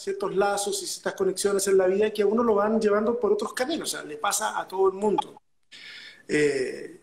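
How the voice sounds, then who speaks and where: A man with a Chilean accent speaks as an interview guest over a video call. His voice is high for a man, and the call audio sounds roomy and echoey. He tells his story in a calm, reflective way. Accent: Chilean Spanish. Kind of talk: casual interview chat.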